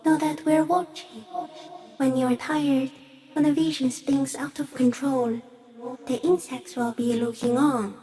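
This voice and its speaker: A woman voicing an ancient, shy giant stick insect in a video game, gentle and solemn, speaking poetically with hushed, eerie wonder. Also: sits high, steady tone, unhurried. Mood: solemn, awed. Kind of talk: slow poetic game monologue.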